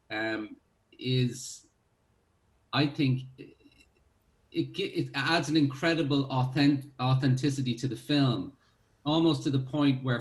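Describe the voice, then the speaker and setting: A man's voice: medium pitch, roomy sound. An Irish man, a filmmaker, answering interview questions in a reflective way with many fillers and restarts. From Ireland. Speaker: filmmaker who cast the film.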